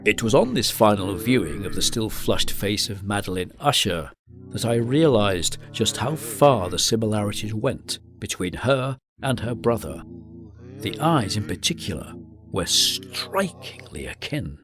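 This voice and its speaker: Gothic radio drama narrator: solemn literary gentleman, lowish and very expressive, over prominent music. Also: measured pace. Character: melancholy gentleman narrator recalling his friend's descent into madness.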